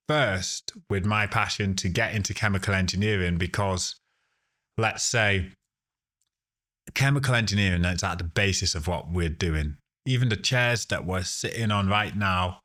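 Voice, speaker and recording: Male interviewee, fairly low voice, conversational, halting delivery. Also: fairly bright tone. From Ghana, later the UK. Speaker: podcast guest, sustainability professional.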